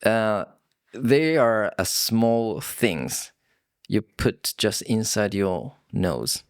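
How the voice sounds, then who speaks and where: A man with a Japanese accent co-hosting a casual podcast, speaking simple, somewhat halting non-native English. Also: on the low side, lively intonation, clear, resonant tone, no room sound, studio quality. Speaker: co-host of a bilingual English conversation podcast. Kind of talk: casual chat.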